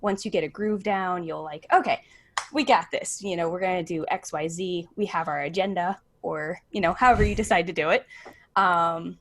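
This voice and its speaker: A woman's voice: fairly low pitch, normal speed. A woman podcaster on a live panel, giving advice in an informal, conversational way.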